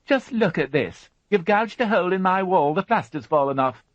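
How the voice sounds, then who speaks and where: A man's voice: medium pitch, sing-song, moderate pace, no room sound, voice pushed up. A man voicing a fussy, prim middle-aged housewife who chatters and turns indignant, in a storybook reading. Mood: scolding. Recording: dull, dry studio audiobook.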